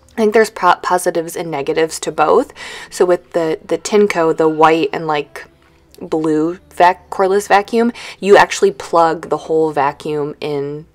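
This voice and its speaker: A woman in her thirties talks like a home vlogger, loose and conversational. Her voice sits at an ordinary to slightly low pitch for a woman. She wanders from thought to thought in an informal, chatty style. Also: unhurried. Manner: rambling, conversational. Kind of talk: casual chatty vlog. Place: indoors at home.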